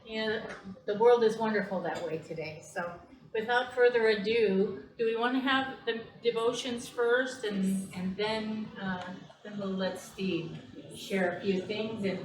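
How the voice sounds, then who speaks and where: A woman's voice: mid-range voice, unhurried. A woman from Wisconsin, hosting a home gathering and chatting casually with fillers. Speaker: host. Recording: echoey room from far off with gathered people.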